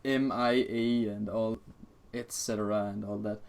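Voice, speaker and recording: A man chatting casually and jokingly in a halting way as host of a gaming video. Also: fairly low pitch, fairly even intonation, gravelly, smooth. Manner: halting, often interrupting. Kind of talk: casual joking chat over a game recording. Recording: noisy home mic, keyboard clicks. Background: keyboard typing and clicking, background noise.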